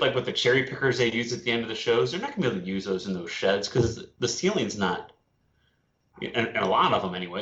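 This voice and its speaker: A man with a lowish voice chats casually on a podcast, thinking aloud and repeating himself. His audio sounds roomy and echoey. It is also dull and lo-fi. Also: a bit bright. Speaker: podcast co-host. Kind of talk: casual chat.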